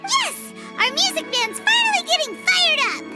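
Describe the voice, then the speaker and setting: A woman voicing a tiny, bubbly, childlike companion creature with a squeaky voice in a video game. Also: very animated, normal speed, pushed and bright, soft background music, full character voice. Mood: excited. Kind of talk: animated video game acting.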